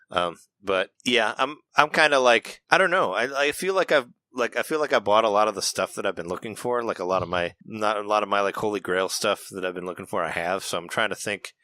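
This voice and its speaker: Male podcast host, lowish bright voice, dry close mic, loose chatty delivery. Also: no room sound. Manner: chatty, laughs often, restarts sentences. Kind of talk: casual podcast chat.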